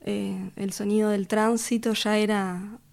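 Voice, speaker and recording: A woman answers interview questions about her music. She speaks thoughtfully and hesitantly, often pausing on fillers and restarting her sentences, and laughs easily between thoughts. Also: medium pitch, level tone, gravelly, darkish tone. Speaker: musician and record producer. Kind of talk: casual interview conversation.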